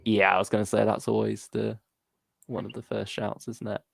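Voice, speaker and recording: A man with a fairly low voice and heavy vocal fry co-hosts a casual fan discussion. He speaks in a hesitant, hedging way, recorded close and dry. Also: flat delivery, normal speed, no echo. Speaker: podcast co-host. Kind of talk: casual fan discussion, reading listener comments.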